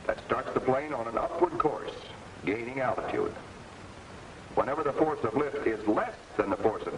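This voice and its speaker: An American man narrating a scripted 1940s instructional film in a clear, didactic manner with measured emphasis, like an instructor. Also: medium pitch. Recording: noisy 1940s film soundtrack, distant.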